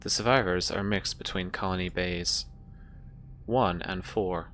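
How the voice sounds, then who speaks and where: A man voicing a ship's computer in a tabletop game, with formal, measured, obedient reporting. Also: low-pitched, steady tone, fairly bright tone, a little hiss or hum.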